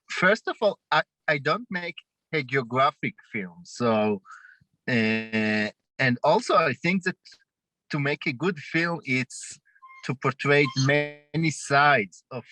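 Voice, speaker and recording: A man with a heavy Israeli accent speaks slowly, stopping to hunt for words as he answers questions about his documentary. His voice is bright and forward. The sound is dry and close, as on a video call. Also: mid-range voice, no echo, rough recording. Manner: halting, searching for words. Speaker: documentary director. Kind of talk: festival Q&A interview.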